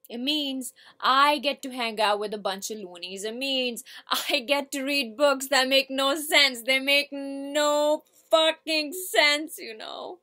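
A woman performing a theatrical monologue as a hurt, defiant, foul-mouthed young working-class woman, repeating herself haltingly as she builds. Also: high-pitched, sharp tone, pitched above the performer's own voice, full character voice. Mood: disillusioned, then angry outburst. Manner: halting and repetitive, building to outbursts, swearing.

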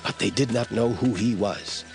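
A man's voice: lowish voice, moderate pace, faint music behind. A man narrating scripture as a scripted audio drama storyteller.

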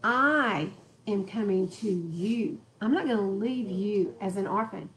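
A woman's voice: mid-range voice, unhurried, fairly bright tone, slight background noise, low-quality recording. A woman leading a home Bible study, stressing words and joking with the group, recorded by a camera in a living room. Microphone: far camera in the room.

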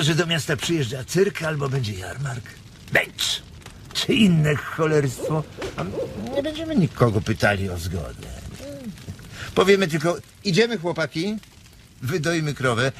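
An actor in a radio drama playing a blunt, weary ranch hand who dreams of his own farm, speaking colloquially with hesitant pauses. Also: on the high side, wildly swooping pitch, exaggerated voice. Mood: eager. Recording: noisy ambience behind the voice.

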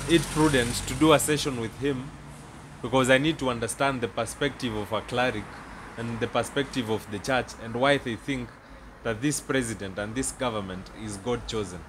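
A young man with a Kenyan accent, speaking formally and deliberately as an interviewer into a handheld microphone. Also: mid-range voice, noisy background. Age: young adult. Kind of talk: measured, formal discussion introduction. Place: seated indoors in a small studio set. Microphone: handheld microphones close to the mouth.

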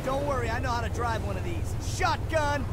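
An actor playing an aggressive, frantic marine in a video game, shouting excitable combat barks. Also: male voice, very high-pitched, fairly quick. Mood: cocky. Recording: loud gunfire and vehicle noise.

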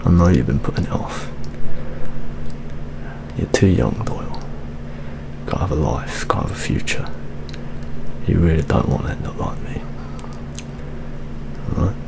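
A young man with a British accent playing a weary, fatherly police detective in a hushed, partly whispered ASMR roleplay. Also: very deep voice, expressive, unhurried, vocal fry, long pauses, background noise, exaggerated voice. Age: young adult.

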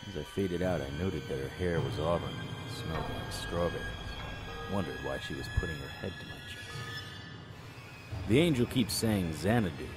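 A man playing a wry, world-weary detective narrating a noir audio drama in clipped, deadpan phrases. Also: sits low, lightly acted. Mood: groggy, musing.

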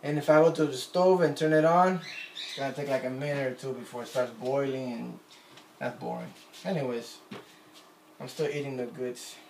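Puerto Rican man, gravelly, rambling, echoey kitchen, phone on the counter. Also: mid-range voice, steady tone, normal speed, darkish tone, a little hiss or hum. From Puerto Rico. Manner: rambling, self-deprecating. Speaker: vlogger. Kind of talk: casual chat while cooking. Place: home kitchen, indoors. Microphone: phone camera on counter. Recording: echoey kitchen with faint ambience.

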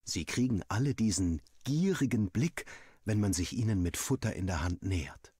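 A man's voice: fairly low pitch, expressive, normal speed, warm tone, slightly rough, no echo, clean studio sound. A man playing a young student villager who narrates his diary in a wry, dramatic, self-mocking way, as a scripted game story. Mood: wry, musing.

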